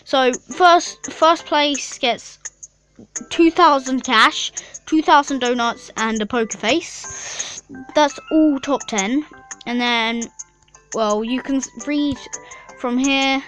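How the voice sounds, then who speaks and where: A woman's voice: high-pitched, slow pace, a bit bright, faint music behind, low-quality recording. A young female gaming YouTuber chatting casually and rambling through a mobile game, with lots of fillers and giggles.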